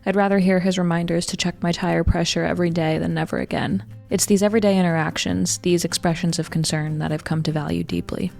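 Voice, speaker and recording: A woman's voice: fairly low pitch, fairly even intonation, quick pace, gravelly, few pauses, darkish tone, soft background music. A woman reading a scripted first-person personal essay as its writer.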